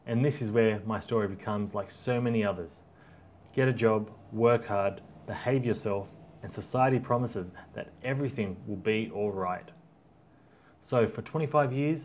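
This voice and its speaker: A middle-aged Australian man reads his own story aloud at a mid-range pitch. His telling is plain and reflective, and he sometimes trips over a word and restarts it. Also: a little mellow. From Australia (Tasmania). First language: Auslan. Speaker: author narrating his own book. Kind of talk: audiobook narration.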